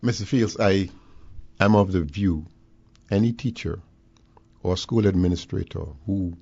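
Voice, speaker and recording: A man from the Bahamas, a guest on education, speaking in a deliberate, formal, lecturing way. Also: low voice, gravelly, darkish tone, no echo, lo-fi sound. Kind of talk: measured talk radio discussion.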